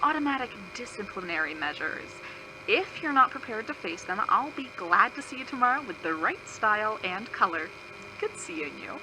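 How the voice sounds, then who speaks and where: A woman's voice: medium pitch, expressive, moderate pace, noisy background, close to an everyday voice. A woman playing a falsely cheerful, patronizing corporate manager in an audio drama, speaking in scripted polite phrases. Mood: dismissive. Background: music.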